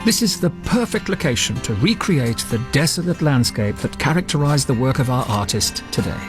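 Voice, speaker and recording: A man narrating a scripted voice-over in a measured, deliberate way. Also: mid-range voice, lively intonation, over music. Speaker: narrator and art teacher.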